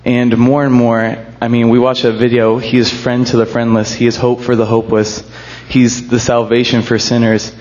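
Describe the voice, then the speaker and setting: Booming-voiced young man, earnest testimony. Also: completely flat delivery, normal speed, few pauses, darkish tone, muffled recording. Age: young adult. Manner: earnest, jokes about himself, laughs nervously. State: shaky with emotion. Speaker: college student sharing a testimony. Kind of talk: church group testimony.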